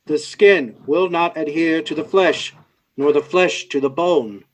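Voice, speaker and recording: A male actor playing a solemn servant robot in a radio drama, speaking formally and deliberately. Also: on the high side, poor audio. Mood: grave. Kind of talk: old-time radio drama acting.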